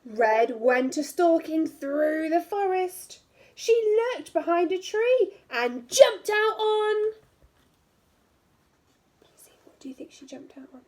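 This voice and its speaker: High-voiced, very expressive woman reading a storybook. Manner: animated, drawn-out storytelling with hushed asides and questions to listeners. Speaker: primary school teacher. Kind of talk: expressive picture-book read-aloud for young children.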